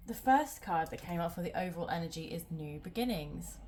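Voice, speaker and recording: A woman's voice: fairly low pitch, expressive, fairly quick, a little mellow, a little hiss or hum. A woman doing a casual tarot reading at home, sounding nasal from a cold and rambling with many ums. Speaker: tarot reader.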